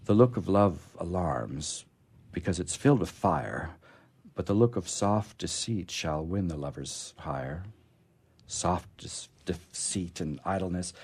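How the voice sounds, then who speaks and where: A man reading poetry aloud in a measured way and commenting on it casually, as on a radio program. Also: sits low, darkish tone, slightly rough.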